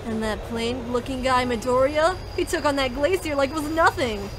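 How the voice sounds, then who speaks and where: A woman playing an excitable, gossiping sports fan in a comic dub. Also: sits high, normal speed, lightly acted. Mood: excited. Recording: clear background noise.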